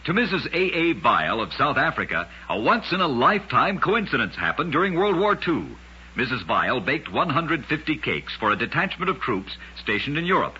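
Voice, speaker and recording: Bright, husky male radio storyteller. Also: on the high side, very animated, moderate pace. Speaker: radio show host and storyteller. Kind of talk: scripted old-time radio trivia narration. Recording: dull, lo-fi old radio recording.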